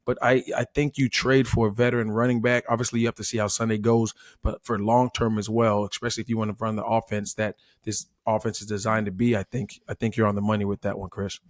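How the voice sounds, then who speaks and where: A man's voice: on the low side, level tone, quick pace, few pauses, no room sound, clean studio sound. An American man giving casual sports analysis in an emphatic way, restating his points for weight. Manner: emphatic, repeats himself, says "listen". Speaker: former player turned sports analyst.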